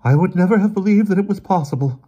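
Storybook character played by a man: a grave, weary brother who is shaken yet insistent. His voice rides high and strained above a normal speaking level, with emphatic, exasperated, theatrical phrasing. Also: quick pace, warm tone, slightly rough. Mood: shaken, urgent.

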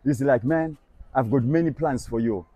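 A man's voice: medium pitch, moderate pace, warm tone, breathy edge, dry recording. A young man preaching on a busy shopping street, talking earnestly and persuasively to a passer-by and repeating scripture back line by line. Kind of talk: street evangelism conversation.

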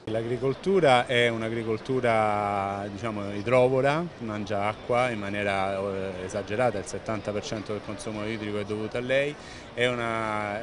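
Earnest Italian man, low voice, noisy hall. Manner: fluent, earnest, frequent "eh" fillers and restarts. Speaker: president of an environmental organization. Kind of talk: on-site interview answers. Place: large indoor event hall at an expo. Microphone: handheld reporter microphone held close. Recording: noisy venue background.

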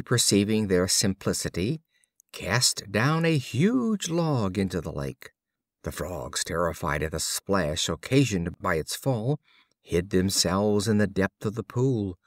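A man with a fairly low voice reads storybook fables in a lively, swooping intonation. His delivery is deliberate and expressive, like a practised audiobook storyteller, and the sound is close and dry. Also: no room sound. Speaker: audiobook reader. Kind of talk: scripted storybook narration of fables.

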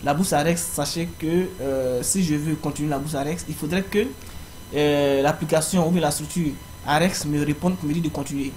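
A young man giving a screen-recorded walkthrough of an online application, pausing often with fillers as he explains each step. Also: on the high side, steady tone, darkish tone. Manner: halting, frequent fillers. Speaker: tutorial presenter. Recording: faint background noise.

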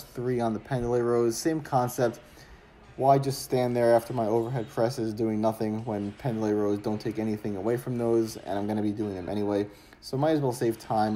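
A young man talks to the camera in a relaxed, easygoing way, explaining his routine with plenty of "um" and "like". His voice sits at an ordinary middle pitch. A faint hiss of background noise runs under the recording. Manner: relaxed, explanatory, filler-heavy. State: getting sick. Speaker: fitness vlogger. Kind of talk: casual vlog talk to camera.

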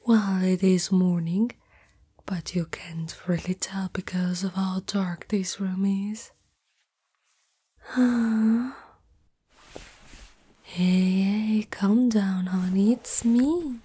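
A woman plays a young spider-girl in love, shy and afraid of being rejected. Her voice is mostly whispered and very breathy, with a dark, mellow tone. She speaks slowly and hesitantly, as in an intimate ASMR girlfriend roleplay. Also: medium pitch, fairly even intonation, heavily acted. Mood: tender, soothing. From Italy.

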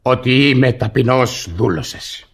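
An actor playing an exasperated, humiliated peasant husband in a classic radio comedy, grumbling and indignant in a theatrical way, with a husky voice. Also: male voice, mid-range voice, close to an everyday voice. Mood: grudging, seething.

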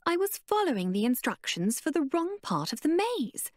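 A woman voicing an eager, determined young woman, her voice pitched up, breathless and urgent. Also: sing-song, normal speed, a little mellow, no room sound, clean studio sound.

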